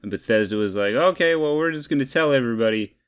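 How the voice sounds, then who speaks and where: A man's voice: medium pitch, expressive, fast, clear, resonant tone, muffled recording. A man co-hosting a podcast, chatting casually and explaining gaming news in an informal, bantering way. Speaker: podcast co-host.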